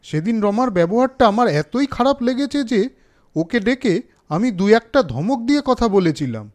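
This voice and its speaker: An earnest young man from a cartoon, acted by a man, speaks in a high-pitched voice that moves up and down expressively. He is sensitive and emphatic. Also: sing-song, normal speed, a little mellow. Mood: indignant. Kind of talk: cartoon story dialogue.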